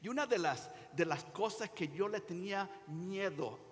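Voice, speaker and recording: A man in his sixties with a Venezuelan Spanish accent, preaching as a pastor in an informal, conversational way, often halting and repeating words. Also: sits high, lively intonation, slight background noise. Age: 60s. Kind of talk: sermon with anecdotes and prayer, conversational. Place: church hall during a service. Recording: reverberant hall.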